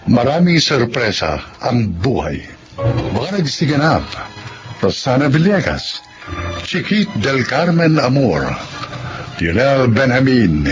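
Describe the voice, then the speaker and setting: A Filipino man announcing a radio drama in a scripted, formal read. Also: mid-range voice. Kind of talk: scripted announcing of closing credits. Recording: dull lo-fi sound under clear music.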